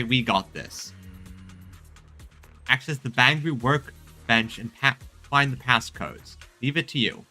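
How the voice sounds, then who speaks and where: Bright, forceful male game streamer. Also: medium pitch. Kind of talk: casual let's-play commentary, reading game text aloud. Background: game music.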